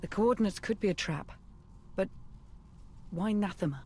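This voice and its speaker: Actress as a serious female adviser, mid-pitched, brisk, analytical, game cutscene, faint ambience. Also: a little mellow, a little hiss or hum, lightly acted. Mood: puzzled, analytical. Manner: urgent, serious. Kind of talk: dramatic video game cutscene acting.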